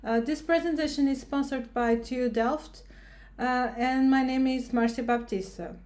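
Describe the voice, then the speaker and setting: A woman's voice: high-pitched, moderate pace, a little mellow. A woman from Portugal, a researcher giving a recorded educational presentation, speaking hesitantly with many fillers and restarts.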